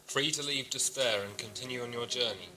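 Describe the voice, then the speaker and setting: A man's voice: medium pitch, a little fast, pushed and bright, rough recording. A man playing an authoritarian, scheming prison ship commander in a science fiction audio drama, stern, clipped and formal. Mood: stern, righteous.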